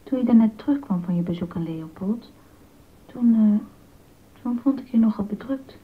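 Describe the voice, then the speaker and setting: A concerned, attentive wife in a historical radio drama, played by a woman. Her voice is husky and breathy, and the recording sounds muffled and narrow. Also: a little high, normal speed. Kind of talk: scripted radio drama dialogue.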